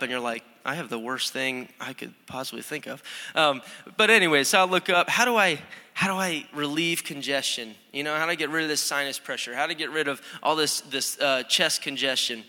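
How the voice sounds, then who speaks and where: A young man, a student pastor, preaching a conversational, energetic sermon with frequent restarts, recorded in a church sanctuary. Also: mid-range voice. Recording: long hall reverb.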